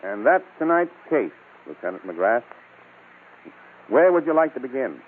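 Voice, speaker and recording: An actor playing a formal former police commissioner, asking measured questions in a scripted old-time radio crime show. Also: male voice, mid-range voice, big pitch swings, slightly rough. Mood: formal, serious. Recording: tinny, narrow old radio broadcast.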